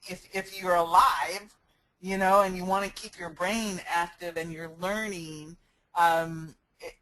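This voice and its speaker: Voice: medium pitch, fairly bright tone, rough recording. An online business coach talking casually in a live question-and-answer session, earnest and personal, rambling with frequent restarts and fillers.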